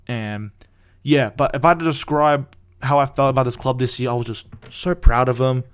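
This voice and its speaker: A young man, hosting a casual solo fan podcast in an excited, rambling way with frequent restarts. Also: medium pitch, moderate pace, clean tone.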